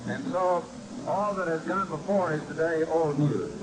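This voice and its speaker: An American man giving 1950s baseball radio commentary in florid, dramatic phrases with deliberate pauses, on a vintage broadcast recording. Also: on the high side, very noisy. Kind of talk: measured, grandiloquent 1950s sports radio commentary.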